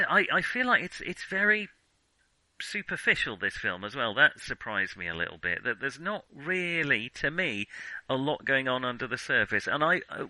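A man's voice: mid-range voice, sharp tone, dry recording, poor audio. A man talking through film criticism off the cuff, reflective and hesitant, often qualifying what he says. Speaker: film discussion podcast host. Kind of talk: unscripted film criticism discussion.

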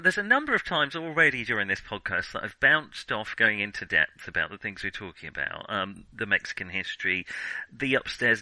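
Bright, crisp-edged man discussing films, haltingly. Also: fairly low pitch, no room sound, poor audio. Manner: halting, digressive, thinking aloud. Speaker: film podcast co-host. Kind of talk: casual film review discussion.

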